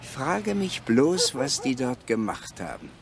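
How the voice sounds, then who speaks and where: An actor playing a sly, ingratiating village poacher, evasive and hesitant, in a German radio crime play. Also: male voice, mid-range voice, big pitch swings, unhurried, gravelly. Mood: sly, insinuating.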